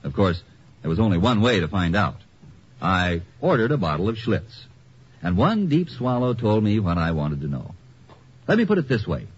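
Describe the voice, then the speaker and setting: A man's voice: fairly low pitch, big pitch swings, moderate pace. An actor playing a contented vacationer, telling his story with leisurely drama as a scripted 1950s radio commercial. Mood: contented, musing. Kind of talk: 1950s radio ad, scripted first-person narration. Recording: muffled, noisy old 1950 radio recording.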